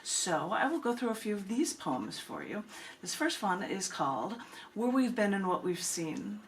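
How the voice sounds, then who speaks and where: A woman hosting a poetry reading, speaking expressively and deliberately, with casual asides. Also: mid-range voice, very animated. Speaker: poet and reading host. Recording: clearly noisy room recording.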